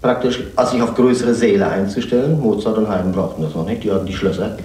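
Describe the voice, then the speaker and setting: A man's voice: fairly low pitch, quick pace, darkish tone, roomy sound, far from the mic. A man explaining something in an interview in informal, spontaneous speech.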